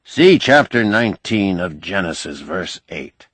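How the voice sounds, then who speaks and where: Male narrator playing cantankerous older intellectual, low and husky, wry, pedantic, audiobook dialogue. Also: very animated, unhurried, fairly bright tone, no room sound, exaggerated voice. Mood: wry lecturing. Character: cantankerous, learned older man, sardonic lecturing skeptic.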